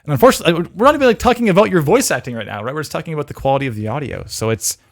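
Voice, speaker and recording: Gravelly man giving frank critique. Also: fairly high pitch, very fast, no room sound, studio quality. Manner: thinking aloud, relaxed and frank. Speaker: host reviewing demo reels. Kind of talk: casual critique commentary.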